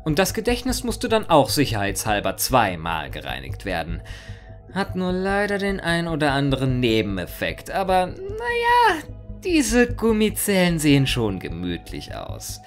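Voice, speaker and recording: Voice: mid-range voice, huge pitch swings, unhurried, soft background music. A performer voicing an immortal, mischievous chaos creature in a theatrical fan audio monologue, mock-formal, sardonic and playfully rambling. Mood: smug, wry. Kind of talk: theatrical first-person character monologue in a fan audio story.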